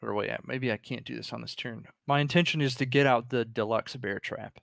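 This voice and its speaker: A man's voice: medium pitch, fairly even intonation, fairly quick, creaky, no room sound, clean studio sound. A man chatting casually as a player in a tabletop game, thinking aloud and repeating his words as he works out a move.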